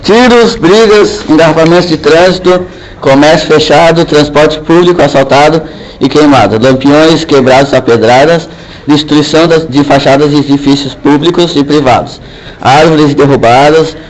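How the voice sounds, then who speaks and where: A man's voice: on the high side, moderate pace, poor audio. A man reading out a reporter's statement in a radio drama, listing things urgently. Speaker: newspaper reporter.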